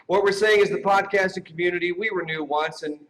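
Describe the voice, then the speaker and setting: A man's voice: sits high, quick pace, fairly bright tone, low-quality recording. A man hosting a live awards show with jokey, teasing banter. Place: live awards ceremony stage.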